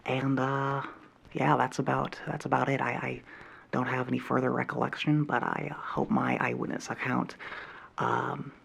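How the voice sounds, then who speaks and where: A man voicing a nervous, nerdy male alien hobbyist who hesitates constantly in a soft-spoken roleplay. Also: medium pitch, little pitch movement, normal speed, vocal fry, husky, exaggerated voice. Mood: awkward earnest. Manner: hesitant, full of ums and uhs.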